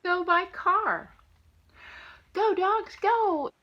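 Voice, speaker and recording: A woman's voice: very high voice, very slow, lo-fi sound. An older woman, a grandmother reading a picture book and chatting to her young grandchild in a playful, sing-song, affectionate way. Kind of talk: animated read-aloud and affectionate chat to a young child.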